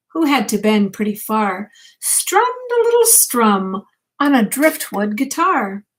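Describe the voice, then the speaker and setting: An older woman, a grandmother, reading a picture book aloud at bedtime, expressively, with gentle questions to a child. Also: a little high.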